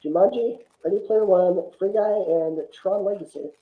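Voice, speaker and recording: A man's voice: high-pitched, moderate pace. Echoey lo-fi guy on a movie podcast, just chatting casually. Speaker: podcast panelist. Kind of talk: casual film discussion. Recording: echoey room, muffled lo-fi sound.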